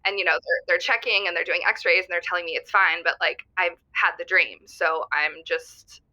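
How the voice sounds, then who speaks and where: A woman's voice: on the low side, fairly even intonation, normal speed, sharp tone. A woman playing an anxious, superstitious caller on a radio advice drama, rambling earnestly and hesitantly over a lo-fi caller line. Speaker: phone-in caller. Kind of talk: naturalistic audio drama dialogue.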